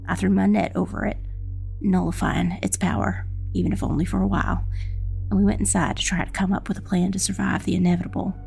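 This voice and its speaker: A woman reading a dramatic first-person dark fantasy narration as an audiobook narrator. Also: mid-range voice, little pitch movement, quick pace, gravelly. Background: faint music.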